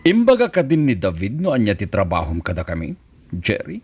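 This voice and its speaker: A man playing a self-assured gang boss in a radio drama, measured and questioning. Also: on the low side, huge pitch swings, darkish tone, breathy edge, exaggerated voice. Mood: probing. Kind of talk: Ilocano radio drama acting. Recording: music playing under the voice. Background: music.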